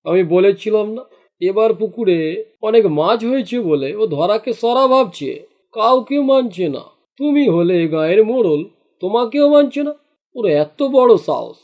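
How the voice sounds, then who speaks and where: A man's voice: high voice, animated, moderate pace, darkish tone. A man acting a sly, boastful village henchman in a cartoon, goading and cocky as he schemes.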